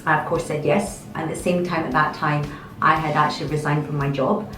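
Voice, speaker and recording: A woman telling a personal story in an interview, in a halting, reflective way. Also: low-pitched, fairly even intonation, fairly bright tone. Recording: roomy, distant, faint music under.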